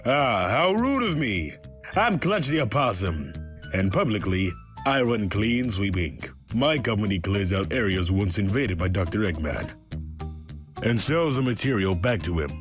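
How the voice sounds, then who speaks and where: A man voicing a suave older opossum villain businessman in a comic dub, speaking politely with smug, measured menace. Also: on the low side, sing-song, music in the background. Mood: smug, scheming.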